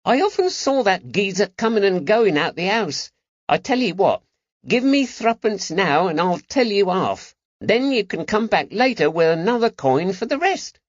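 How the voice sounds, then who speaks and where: A man voicing a cheeky, eager young street urchin with a high-pitched voice and slangy talk, in an audiobook. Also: sing-song, moderate pace, dry recording, dull audio. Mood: bargaining, cheeky. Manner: colloquial street slang, bargaining.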